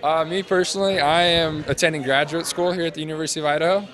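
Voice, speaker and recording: A man in his twenties, a student interviewee, explaining a project off the cuff in casual, halting phrases into a handheld mic. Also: fairly high pitch, fairly even intonation, a bit bright. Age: 20s. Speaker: interviewee, senior engineering student presenting a project. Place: large glass-walled university hall or lobby. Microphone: handheld interview microphone held out to him.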